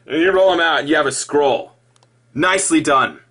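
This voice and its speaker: A man delivering an improvised sarcastic rant in an exasperated, mocking way, halting and repeating himself, with profanity. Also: fairly high pitch, a bit bright. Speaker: video commentator.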